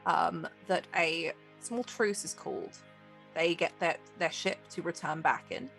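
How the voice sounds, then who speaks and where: A woman's voice: fairly low pitch, a little slow, creaky, a bit bright, soft background music, low-quality recording. A woman running a tabletop game as game master, improvising narration in loose, meandering phrases.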